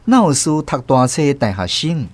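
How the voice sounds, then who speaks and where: A man from Taiwan, host and narrator of a radio drama, reading the story in a measured, expressive way. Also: mid-range voice, no echo.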